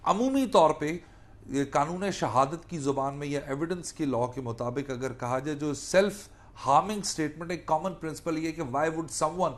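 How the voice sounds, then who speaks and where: A man's voice: a little high, moderate pace. A man with a Pakistani accent giving an explanatory law lecture, didactic, restating points and mixing in English legal terms. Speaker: law lecturer and defence attorney.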